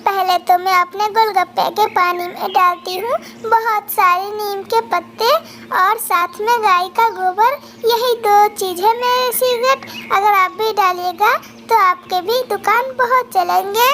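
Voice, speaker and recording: A tiny, clever mother bird from a children's cartoon, voiced by a woman. The voice is extremely high and bright, polite and patient in its explanations. Faint noise sits behind it. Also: fairly even intonation, measured pace, heavily acted. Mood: pleading, sly.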